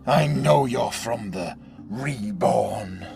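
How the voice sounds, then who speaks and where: An actor playing an older survivor leader in an audio drama, a menacing villain with a gravelly voice and a mocking, deliberate, sarcastic manner. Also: male voice, mid-range voice, animated, over music, full character voice. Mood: contemptuous menace.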